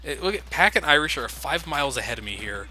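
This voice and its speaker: A man's voice: fairly high pitch, sing-song, fast, bright, forceful tone. A man giving sarcastic, casual commentary over his own gameplay, swearing freely. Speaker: let's play commentator. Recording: game noise underneath.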